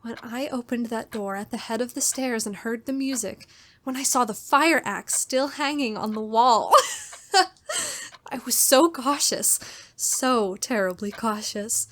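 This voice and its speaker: A woman's voice: fairly high pitch, animated, a little slow, a bit bright. An actress playing a fearful, unhinged woman in a theatrical radio horror drama. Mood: gloating, unhinged. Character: fearful, unhinged woman diarist who killed her brother-in-law. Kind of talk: old-time radio horror drama acting.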